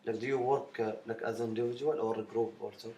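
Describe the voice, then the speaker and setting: A man's voice: medium pitch, steady tone, fast talker, mellow. A young man, the kind heard at a college doing a student interview, hesitantly piecing questions together over faint background noise. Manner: hesitant, feeling for words. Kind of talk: interview questions, halting delivery.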